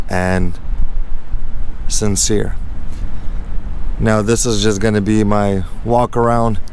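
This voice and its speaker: A man hosting a casual car walk-around vlog, earnest and unhurried, with a slightly raspy voice from being under the weather. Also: fairly low pitch, darkish tone, a little hiss or hum. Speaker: host of a car channel.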